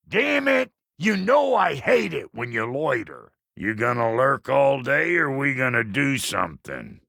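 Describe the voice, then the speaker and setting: A gravelly, foul-tempered dwarf blacksmith from a fantasy video game, with an American accent. He is cocky and blunt in a folksy way. His pitch swoops wildly and his tone is hard and forceful. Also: dry recording, studio quality, exaggerated voice. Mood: irritable. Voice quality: gravelly, rough. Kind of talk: video game character lines.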